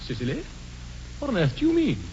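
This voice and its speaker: An actor playing a languid, flippant young Victorian gentleman with an upper-class English accent and an affected drawl, in a 1940s radio comedy. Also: high-pitched, huge pitch swings, brisk. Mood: flustered indignation. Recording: dull, noisy 1940s radio recording.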